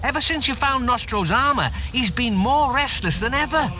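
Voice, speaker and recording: An actor playing an eager, bookish guild scholar in a fantasy game, explaining things excitedly. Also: male voice, extremely high pitch, moderate pace, slight background noise, exaggerated voice. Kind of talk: video game cutscene acting. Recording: music behind.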